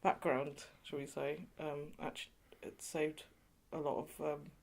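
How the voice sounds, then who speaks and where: A woman's voice: low voice, level tone, unhurried. Chatty woman interviewee from England. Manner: chatty, frequent "you know" and light laughs. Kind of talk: oral history interview.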